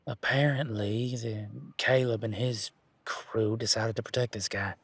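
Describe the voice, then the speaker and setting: A man playing a laid-back, wry werewolf in an intimate one-sided audio roleplay, talking in a casual, folksy, teasing way with a husky voice. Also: lowish voice, steady tone, a little slow, fairly bright tone, no echo. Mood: wry, uneasy.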